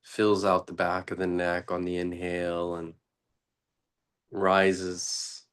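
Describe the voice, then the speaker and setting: Very slow, low-voiced man guiding meditation. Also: monotone, a bit bright, smooth. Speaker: meditation teacher. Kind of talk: unscripted guided meditation.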